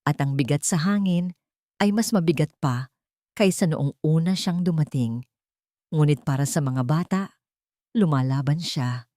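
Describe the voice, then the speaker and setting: A woman reads a dramatic story in a slightly low voice. Her delivery is measured and suspenseful, with pauses for weight. The sound is dry, with very little room in it. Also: warm tone, no echo. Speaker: story narrator. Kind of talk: dramatic scripted storytelling narration.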